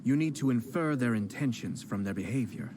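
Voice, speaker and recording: A man voicing a composed young male noble clan head who speaks in a measured, formal and polite way in a game. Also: medium pitch, animated, noisy background, lightly acted. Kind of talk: video game voice acting. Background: game music.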